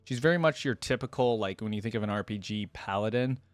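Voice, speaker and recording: A man's voice: fairly low pitch, fast talker, no echo, faint music behind. A man giving casual, slangy fan commentary as the host of a reaction video. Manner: informal, slangy fan talk.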